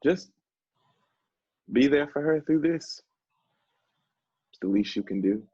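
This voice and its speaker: An actor playing an earnest, emotional man who knows addiction firsthand, speaking haltingly in a stage play. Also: fairly high pitch, expressive, dark tone, breathy edge, exaggerated voice. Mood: earnest, urging. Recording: roomy, dull stage recording.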